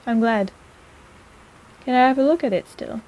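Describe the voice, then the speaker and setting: A woman's voice: on the high side, normal speed, darkish tone, lightly acted, poor audio. A woman playing a sarcastic but caring apothecary in an intimate personal-attention audio roleplay, with a playful, chiding manner. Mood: friendly, teasing.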